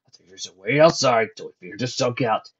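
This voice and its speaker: A woman's voice: very deep voice, animated, quick pace, slightly rough, lo-fi sound, full character voice. A woman playing a suspicious, demanding older man, a grim great-uncle in a story. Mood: tense. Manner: tense, demanding, muttering.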